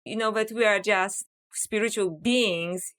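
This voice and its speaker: Smooth-voiced woman with a Polish accent. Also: mid-range voice, fairly bright tone. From Poland. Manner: measured and reflective, frequent "you know". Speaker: author and scholar, podcast guest. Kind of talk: interview conversation.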